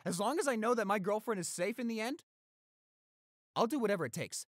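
A man's voice: sits high, expressive, fairly quick, dry recording, clean studio sound. A man playing a protective, tender young boyfriend who turns fierce toward bullies, in an intimate audio roleplay. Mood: furious, protective. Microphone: close studio microphone.

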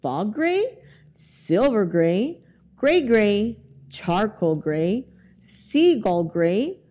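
Slow, lively woman reading a picture book. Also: medium pitch. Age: middle-aged. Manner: expressive, deliberate reading to young children. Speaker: library storytime reader. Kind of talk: children's picture book read-aloud. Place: indoors in a library, among bookshelves. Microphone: lapel mic.

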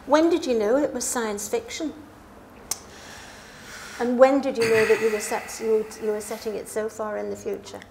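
A woman's voice: on the high side, steady tone, moderate pace, vocal fry, darkish tone. A woman chairing a live book event, asking questions in a conversational way. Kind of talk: conversational on-stage interviewing. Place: small dark stage before a seated audience. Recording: noisy live event room recording.

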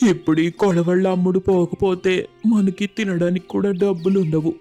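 Man voicing a humble village blacksmith, mellow and pleading. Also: high-pitched, level tone, normal speed. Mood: pleading, distressed. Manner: deferential, earnest, rustic village speech. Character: poor, honest, humble village blacksmith, pleading and tender husband. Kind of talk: animated moral story voice acting. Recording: music under the voice.

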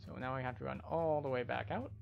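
A man calmly explaining his way through a video game as a tour guide, in a gameplay commentary style. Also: medium pitch, fast talker, gravelly, highs cut off. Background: game music.

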